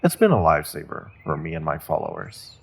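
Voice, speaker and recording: A man playing a menacing, courteous evil sorcerer warlord in a fantasy audio roleplay, gloating in a mock-polite, deliberate way with a gravelly, husky voice. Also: very deep voice, very animated, exaggerated voice. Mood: smug menace. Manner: slow, deliberate, mock-polite, savoring threats with drawn-out pauses. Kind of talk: fantasy audio roleplay, speaking directly to a captive listener.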